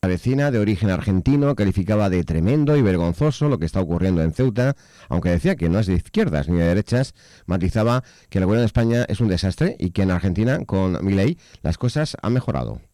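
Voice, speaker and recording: A male news reporter with an accent from Spain reads a scripted report at a quick pace. His voice is low and mellow. The recording is close and dry. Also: expressive, dry recording.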